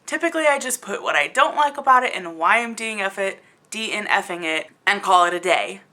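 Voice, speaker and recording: A woman's voice: medium pitch, lively intonation, a little fast, sharp tone. A woman giving a casual, opinionated review to camera in a blunt, exasperated, conversational way, leaning on words for emphasis. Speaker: book reviewer hosting a video channel.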